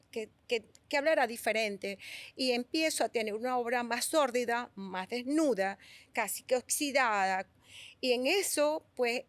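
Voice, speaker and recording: Venezuelan woman, middle-aged, higher voice, dry close mic, animated storyteller with many restarts. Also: no echo. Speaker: guest sculptor. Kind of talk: conversational storytelling.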